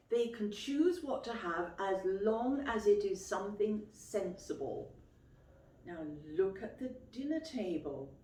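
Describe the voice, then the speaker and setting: A woman reading a picture book aloud to young children as a teacher would, expressively and clearly phrased, pausing to explain and ask questions. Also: mid-range voice, warm tone. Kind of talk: children's storytime read-aloud with questions to listeners. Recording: roomy, echoey, distant microphone.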